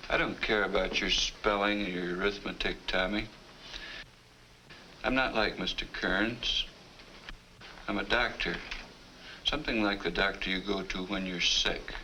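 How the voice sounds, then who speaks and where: A calm clinic psychiatrist in an old educational film. His voice is fairly low but crisp and forward, with patient, measured phrasing. The vintage soundtrack carries a light hiss. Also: heavily acted, rough recording. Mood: friendly, coaxing. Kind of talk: 1950 educational film acting.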